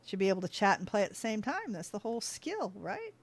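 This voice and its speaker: A woman's voice: mid-range voice, very animated, fast talker. A woman streaming a game, chatting casually with her viewers, easily sidetracked and laughing often. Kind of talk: casual livestream chat while playing.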